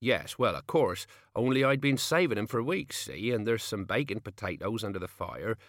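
A man's voice: fairly low pitch, expressive, moderate pace, a bit bright, no room sound, clean studio sound. Man doing a mumbling, timid old peasant. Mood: timid, embarrassed. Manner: mumbling, timid, rustic speech.

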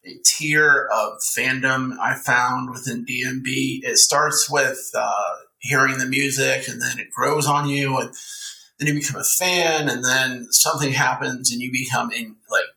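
A man's voice: mid-range voice, fairly even intonation, measured pace, gravelly, sharp tone, rough recording. A man hosting a podcast, asking interview questions in a casual conversational style. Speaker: podcast host.

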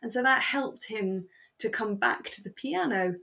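A British woman co-hosting a relaxed fan podcast, chatting in an effusive, sentimental way. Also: medium pitch, unhurried, gravelly. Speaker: podcast co-host. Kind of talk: relaxed fan chat about a radio drama.

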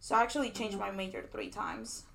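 A woman's voice: medium pitch, sing-song, brisk, creaky, fairly bright tone, a little hiss or hum. A young woman from Peru, a PhD student guest, chatting casually and telling her story with many likes and restarts, recorded at a conference. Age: young adult. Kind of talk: casual interview. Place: conference venue.

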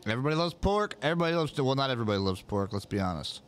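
A man's voice: medium pitch, animated, rapid-fire. Young male host, mid-range voice, loose and laid-back, casual cursing. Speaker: podcast host reading live comments. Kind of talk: casual chat.

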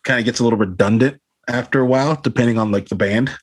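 A man's voice: mid-range voice, quick pace, husky, dry recording. A man, a band frontman, talking casually in an interview over a video call, rambling with lots of fillers and swearing. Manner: rambling, swears a lot, many fillers like "you know what I mean".

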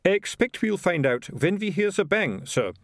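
A man's voice: high-pitched, very animated, moderate pace, no echo, clean studio sound, voice pushed up. A man voicing a deferential, literal-minded town watch sergeant with a dutiful, puzzled manner in a storybook reading.

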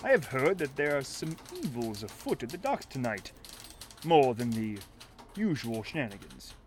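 A man's voice: medium pitch, sing-song, moderate pace, lightly acted. A man playing a courtly, eloquent shantytown mayor with formal, flowery politeness in a 1930s radio drama. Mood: courteous, grave.

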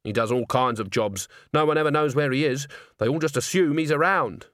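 A man's voice: medium pitch, fairly quick, a bit bright, dry recording, clean studio sound, natural voice. A man voicing an airy, dismissive young woman in a storybook, with a breezy, condescending manner. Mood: flustered.

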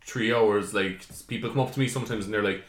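A man's voice: fairly low pitch, steady tone, fast talker. Young man, podcast host, rambling, swearing, echoey room. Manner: swearing, rambling, laughing often. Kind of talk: casual chat. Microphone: podcast mic.

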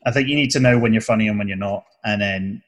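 A man's voice: lowish voice, quick pace, fairly bright tone. A young man with a southern English accent, a comedy video creator chatting casually in an interview and explaining things at length with restarts. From southern England.

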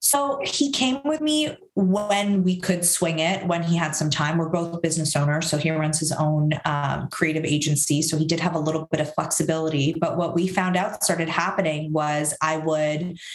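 Guest, woman in her thirties; low-pitched, frank, reflective; echoey room. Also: fairly even intonation. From Syria. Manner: reflective, frank, lists events in quick runs. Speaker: guest, author and professor. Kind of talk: candid interview conversation.

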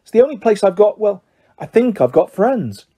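An earnest schoolboy voiced by a male reader speaks urgently in a high, husky voice. He sounds frustrated and desperate, pleading his case as a young storybook hero. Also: big pitch swings, normal speed, dark tone, full character voice. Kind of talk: audiobook character voice.

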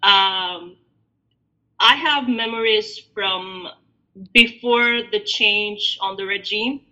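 A woman in her thirties from Albania, a podcast guest telling her story in a reflective, halting way with many fillers. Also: mid-range voice, bright, forceful tone. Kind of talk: podcast interview. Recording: dull lo-fi audio.

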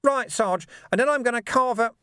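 A man voicing a scruffy, cheeky street urchin boy in an audiobook, with a glutinous voice and halting, slangy working-class talk. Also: very high voice, sharp tone, clean tone, dry recording, studio quality, voice pushed up. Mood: eager, proud.

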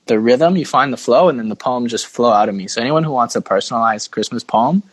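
A man's voice: medium pitch, fast talker, dry recording. A man hosting a casual sports podcast with jokey, slangy banter. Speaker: podcast host.

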